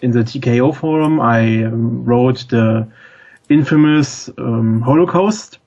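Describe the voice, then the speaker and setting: A man in his thirties from Germany with a German accent, a call-in guest who talks haltingly and searches for words. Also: mid-range voice, darkish tone, highs cut off. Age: 30s. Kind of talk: casual podcast chat. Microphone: internet voice call.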